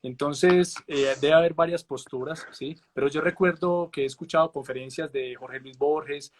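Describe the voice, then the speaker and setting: A man's voice: on the high side, steady tone, moderate pace. Hesitant man hosting an interview. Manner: hesitant, many "eh" fillers and tag questions. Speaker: host. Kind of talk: casual interview conversation.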